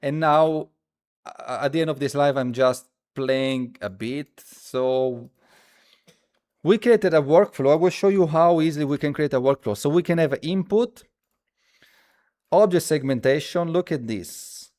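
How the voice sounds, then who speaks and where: A man who speaks as a hosts a casual live coding stream, thinking aloud haltingly and repeating his phrases as he demonstrates. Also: on the high side, darkish tone. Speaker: livestream host.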